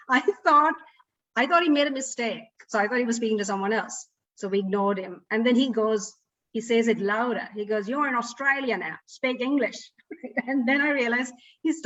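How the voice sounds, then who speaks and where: A middle-aged woman with a Sri Lankan accent tells stories in an animated way. Her voice sits back from the mic. The audio is dull and lo-fi. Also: mid-range voice, distant mic. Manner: animated storytelling with frequent laughs. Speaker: guest speaker. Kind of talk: storytelling in an online talk.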